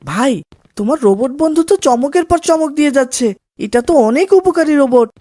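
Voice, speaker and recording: Curious boy pal played by a woman, children's cartoon: high, eager, earnest; dry recording. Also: expressive, brisk, warm tone, dry recording. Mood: admiring, curious. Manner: earnest, questioning.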